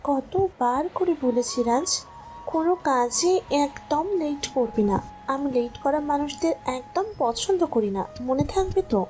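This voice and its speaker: Lady doing a lady boss in an audio romance, high voice, bossy but flirty, soft music behind. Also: lively intonation, slow. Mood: stern, scolding. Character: female office boss, stern yet seductively coy. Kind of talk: audio love-story acting.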